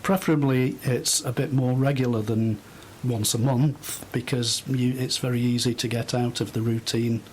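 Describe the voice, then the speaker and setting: A middle-aged man giving thoughtful, halting answers as a guest in an unscripted radio interview. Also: medium pitch, steady tone, darkish tone, a little hiss or hum. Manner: hesitant, thoughtful, halting phrases. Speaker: interview guest, volunteer host.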